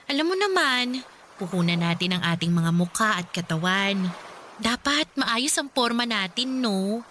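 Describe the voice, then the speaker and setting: A woman's voice: mid-range voice, lively intonation, measured pace, a bit bright, a little hiss or hum. A woman acting an exasperated, whiny young girlfriend who complains in a radio drama. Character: exasperated, nagging young bar-worker girlfriend.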